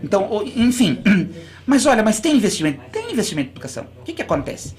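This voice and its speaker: A woman's voice: medium pitch, very animated, fast, darkish tone. A school director from Brazil, a guest on a radio interview. She explains in long, earnest runs and often restarts her sentences. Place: radio studio.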